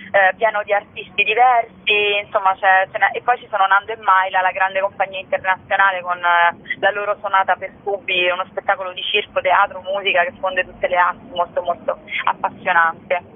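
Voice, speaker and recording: A woman speaking spontaneously as a festival curator in an interview, sometimes searching for words mid-phrase, over a telephone. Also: medium pitch. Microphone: telephone call. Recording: narrow, tinny telephone line.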